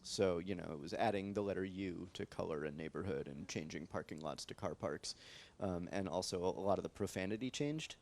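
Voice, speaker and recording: American guy with a really low voice, chatting loosely on a panel, lots of likes and ums. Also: brisk, hardly any pauses, slight background noise. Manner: relaxed, rambling, many fillers and "like". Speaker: translator on a panel. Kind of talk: casual panel discussion. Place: library event space with live audience.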